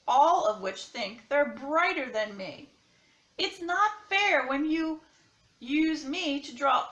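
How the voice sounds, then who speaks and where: A woman reads as a jealous, aggrieved storybook crayon. The voice is high and deliberate, with a roomy echo behind every phrase. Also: bright, forceful tone, full character voice. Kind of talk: children's picture-book read-aloud. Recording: roomy, echoing sound.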